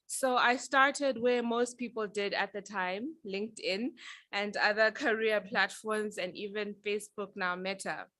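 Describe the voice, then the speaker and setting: Bright-voiced young woman telling her story. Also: medium pitch, no room sound. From Zambia. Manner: earnest, reflective, with some filler ums. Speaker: panel speaker. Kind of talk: prepared personal talk at a panel.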